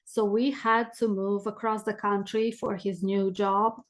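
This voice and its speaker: A woman's voice: mid-range voice, level tone, a little slow. A middle-aged woman with a thick Romanian accent, talking conversationally as a podcast guest. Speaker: podcast guest, business coach. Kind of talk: conversational interview storytelling.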